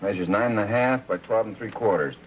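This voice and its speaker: A man's voice: on the low side, a little fast, natural voice. An actor playing a methodical American police lab man, terse and matter-of-fact in 1950s drama style. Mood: businesslike. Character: brisk, methodical police crime lab technician, male. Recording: muffled, noisy 1950s TV soundtrack.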